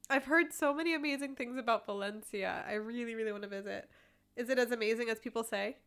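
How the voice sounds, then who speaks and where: A woman with a fairly high voice hosts a podcast, chatting casually and rambling with frequent giggles. She is recorded close and dry, with no room sound around her. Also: fast talker, fairly bright tone, smooth, no echo. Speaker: podcast host.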